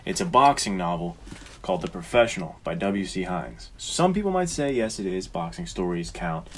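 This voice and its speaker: Low-voiced man in casual podcast chat. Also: animated, moderate pace, a little hiss or hum. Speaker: podcast co-host.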